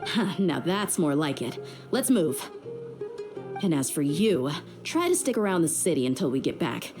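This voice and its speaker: Blunt, animated tough mercenary woman. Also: sits low, normal speed, music in the background. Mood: confident, commanding. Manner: blunt, commanding, menacing. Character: tough, blunt, impatient young female desert mercenary. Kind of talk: video game voice acting.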